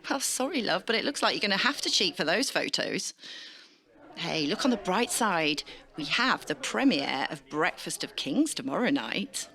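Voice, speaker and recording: A woman's voice: fairly low pitch, sing-song, normal speed, vocal fry, pushed and bright, exaggerated voice. A British woman playing a breezy, sarcastic political correspondent with a theatrical manner in an audio drama. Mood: breezy, bossy.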